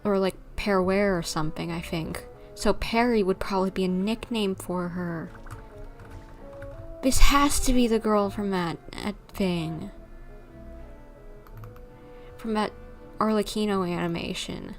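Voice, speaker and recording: A woman's voice: medium pitch, a little slow, long pauses. A young adult woman giving casual, playful, rambling commentary as she livestreams a video game. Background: faint game music.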